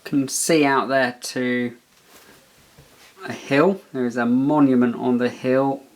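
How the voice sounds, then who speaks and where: A man's voice: mid-range voice, fairly even intonation, slow pace. A man doing a casual hands-on product review, muttering as he thinks aloud and reads bits from a manual. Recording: clear background noise with handling clicks.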